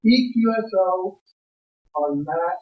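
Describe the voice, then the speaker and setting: A man's voice: high voice, lively intonation, slow. A man in an audience, making casual conversational remarks during a talk. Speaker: audience member. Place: meeting room indoors, among the audience. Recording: dull, lo-fi room recording.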